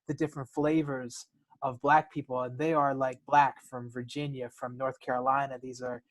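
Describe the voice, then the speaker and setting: Reflective man, halting podcast talk. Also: mid-range voice, level tone. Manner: reflective, halting, frequent restarts and repeated words. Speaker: podcast co-host. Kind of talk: casual personal conversation.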